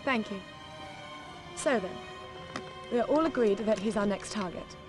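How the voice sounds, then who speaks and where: An actress plays a poised woman who runs a criminal scheme in a spy game. She is measured and calculating, at a middle pitch, with prominent music behind her. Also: natural voice. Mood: cool, businesslike. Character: poised, calculating female criminal mastermind and double agent. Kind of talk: cinematic video game acting.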